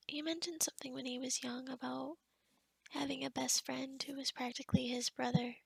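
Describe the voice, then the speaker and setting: A woman acts out a kind young witch of the forest in an ASMR roleplay. Her voice is high and breathy with some fry, recorded close and dry. She talks softly and haltingly, rambling in an awkward, gentle way. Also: no room sound, heavily acted, rough recording. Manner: hesitant, rambling, softly spoken with whispery stretches. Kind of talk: ASMR roleplay addressed to the listener.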